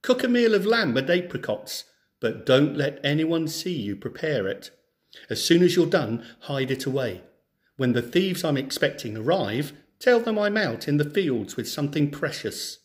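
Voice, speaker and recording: A wily farmer from a storybook, acted out by a male reader. He is confident and theatrical, stressing his words with wide swings of pitch.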